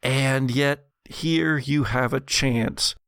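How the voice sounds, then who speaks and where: Male game master, measured and dramatic horror narration, gravelly edge, unhurried pace. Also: medium pitch, steady tone, fairly bright tone, no echo, studio quality. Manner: dramatic, deliberate storytelling in second person.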